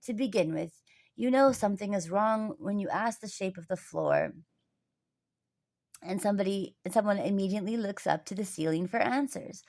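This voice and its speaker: Casting: jovial old grandfather for a children's storybook female reader, earnest, deliberate, explanatory delivery. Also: medium pitch, creaky, darkish tone, natural voice. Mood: teasing, explaining.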